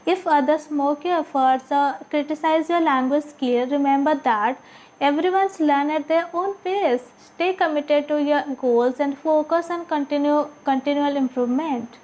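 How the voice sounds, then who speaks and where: A woman's voice: sits high, unhurried, darkish tone, poor audio. A woman who speaks English as a learned language, giving a scripted monologue as a video creator. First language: not English. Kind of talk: scripted monologue, read aloud.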